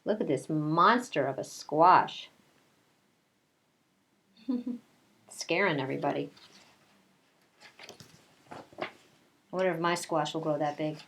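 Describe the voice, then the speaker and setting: A woman with a fairly low voice speaks as a kindergarten teacher at story time. Her delivery is conversational and aimed straight at young children, in the style of a picture-book read-aloud mixed with casual chat. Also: unhurried, long pauses, a bit bright. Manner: conversational, addresses children directly with questions.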